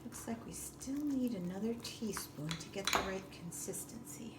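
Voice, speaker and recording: A woman with a medium-pitched voice explains practical steps in simple, deliberate phrases. She sounds set back from the mic in a very roomy, echoing space. The delivery is that of a demonstration. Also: expressive. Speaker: librarian giving a baking demonstration. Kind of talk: step-by-step instructional narration. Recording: distant roomy echo with background noise.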